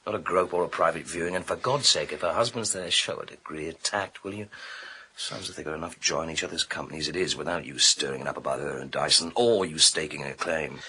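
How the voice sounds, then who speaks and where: Very deep, sardonic detective inspector. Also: male voice, fast, sharp tone, husky, full character voice. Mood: exasperated, sardonic. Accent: British. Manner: sardonic, clipped, blunt. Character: dry, sardonic, dogged male police detective inspector. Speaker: actor in a radio crime drama. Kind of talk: radio thriller acting.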